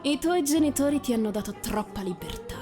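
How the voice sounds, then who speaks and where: A woman's voice: sits high, lively intonation, fairly quick, over music. A woman voicing a condescending young aristocratic fiancé, patronising and controlled, in a visual novel reading. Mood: smug, scolding.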